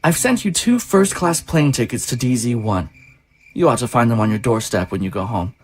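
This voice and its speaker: A man playing a composed anonymous benefactor in an audio drama, speaking in a formal, measured and cryptic manner. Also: mid-range voice, animated, a little hiss or hum, close to an everyday voice.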